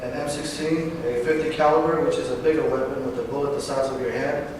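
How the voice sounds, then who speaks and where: A man in his twenties from Northern California, a veteran giving a personal talk, halting at times with fillers and self-corrections. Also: medium pitch, fairly even intonation, darkish tone. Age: 20s. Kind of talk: personal speech read to an audience. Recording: distant, echoey hall recording with background noise.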